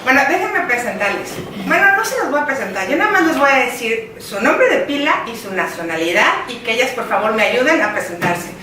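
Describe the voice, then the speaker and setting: Here a woman co-hosts a radio talk show in an excitable, teasing way. Her voice is high and bright, and her pitch swings widely. She is recorded at a distance in a very echoey room, with faint room noise behind her. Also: a little fast, few pauses. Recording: roomy studio heard from a distance.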